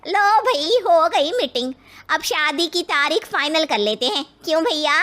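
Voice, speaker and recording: A woman's voice: sits high, expressive, a little slow, a bit bright, faint music behind. A woman playing a bossy, bustling matchmaking aunt in a cartoon story. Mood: brisk, pleased. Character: pushy middle-aged matchmaking aunt.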